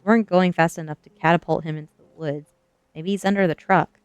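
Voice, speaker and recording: A woman voicing a bold, thrill-seeking goth teenage girl with a calm, teasing manner in a storybook reading. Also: fairly low pitch, fast, clean tone, dry recording, highs cut off, close to an everyday voice. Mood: calm reasoning. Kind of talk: storybook dialogue in an ASMR reading.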